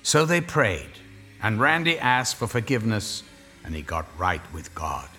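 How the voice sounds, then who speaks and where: A man narrating a horror story in a measured, deliberate, dramatic storytelling style. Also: on the low side, sing-song, bright, forceful tone, faint music behind.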